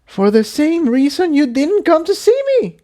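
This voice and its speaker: A man's voice: extremely high pitch, very animated, normal speed, warm tone, pitched above the performer's own voice. A man voicing a hot-tempered, easily hurt young female pony in a story reading, emotional and insistent. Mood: upset, shouting.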